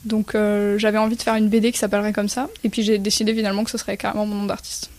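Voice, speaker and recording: A woman from Spain, a guest artist, answering radio interview questions thoughtfully, pausing with fillers. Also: medium pitch, completely flat delivery, darkish tone.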